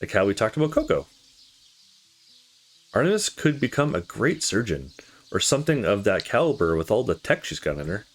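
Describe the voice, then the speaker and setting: A man reading visual novel dialogue aloud in a casual, expressive way, as a let's play host. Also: fairly low pitch, moderate pace, pauses often, slightly rough, soft background music.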